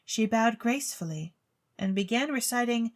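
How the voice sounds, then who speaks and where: A woman reading a story as a theatrical narrator, with expressive, precise literary delivery. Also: fairly high pitch, moderate pace. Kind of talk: theatrical scripted storybook narration for an audio drama. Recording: music bed under the voice. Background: music.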